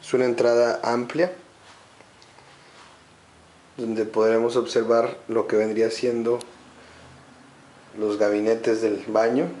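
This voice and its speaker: A man giving a slow, methodical walkthrough of an empty home, listing its features room by room. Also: medium pitch, flat delivery, warm tone. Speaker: home sales guide. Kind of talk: walkthrough narration.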